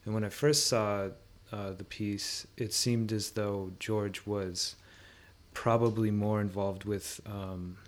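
A man with a fairly low voice talks through ideas in a conversational way. He is reflective and often halting, pausing to search for the right words. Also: fairly even intonation. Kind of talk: thoughtful conversational discussion.

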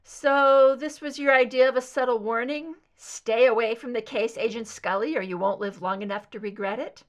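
A woman's voice: on the high side, steady tone, normal speed, close to an everyday voice. A woman voicing a tough captive female federal agent, blunt, sarcastic and challenging, in a thriller audiobook. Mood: irritated, challenging.

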